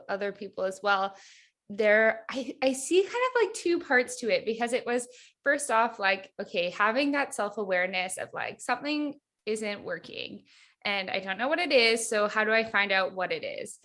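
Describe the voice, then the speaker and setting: A woman's voice: on the high side, a bit bright. Chatty woman hypnotherapist, lots of "like". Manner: chatty, reflective, heavy use of "like". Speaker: hypnotherapist guest. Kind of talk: casual podcast interview.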